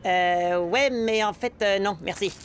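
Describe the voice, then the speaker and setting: A woman voicing a gullible, boastful young boy microbe in a French animated cartoon. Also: medium pitch, unhurried, noisy background, lightly acted. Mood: hesitant, curious. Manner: excitable, boastful, hesitant when unsure.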